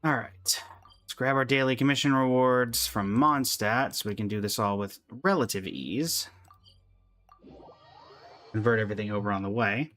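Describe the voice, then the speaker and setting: A man's voice: mid-range voice, normal speed, long pauses, a bit bright. Male game streamer chatting over faint music. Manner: talks to game characters and himself in playful asides. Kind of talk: live game commentary, casual chat with viewers.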